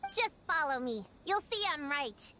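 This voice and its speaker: A woman's voice: high-pitched, very animated, a little slow, sharp tone, slight background noise, full character voice. A woman voicing an earnest, defensive young girl who fancies herself an investigator, insistent and emphatic, in a video game. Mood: eager. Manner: self-important, insistent, emphatic. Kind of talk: English video game voice acting. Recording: game music behind the voice. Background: video game music.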